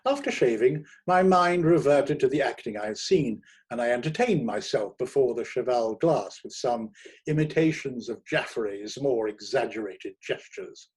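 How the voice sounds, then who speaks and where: A man narrating a first-person short story in a theatrical, expressive manner, savouring his words. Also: mid-range voice, normal speed, breathy edge. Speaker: audiobook narrator.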